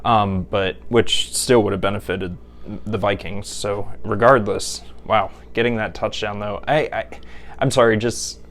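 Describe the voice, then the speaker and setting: A man's voice: fairly low pitch, steady tone, a little slow, fairly bright tone. A man hosting a casual football talk show from home, opinionated and stumbling over restarts while keeping his voice down. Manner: stammering restarts, opinionated, keeps voice down for sleeping child. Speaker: host. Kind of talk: casual sports chat. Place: home at night.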